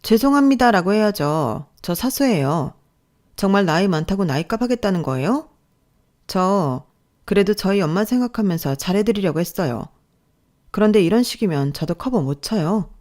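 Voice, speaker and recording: A woman's voice: mid-range voice, expressive, normal speed, a little mellow, lightly acted. A woman voicing an aggrieved younger office mentor, firm and formally polite while rebuking an older trainee in a story. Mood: reproachful.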